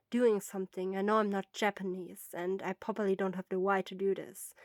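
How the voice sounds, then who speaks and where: A woman's voice: mid-range voice, dry recording, clean studio sound. A woman in her twenties speaking informally to listeners in a nervous, rambling way. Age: 20s. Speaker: amateur voice actor and fan-fiction writer. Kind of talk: informal personal talk to listeners.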